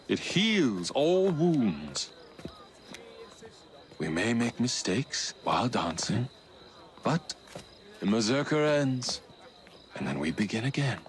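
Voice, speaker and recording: An actor playing a middle-aged Victorian crime lord in a video game, courtly and condescending, with a theatrical delivery. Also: male voice, medium pitch, fairly bright tone, slight background noise. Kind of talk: video game cutscene acting.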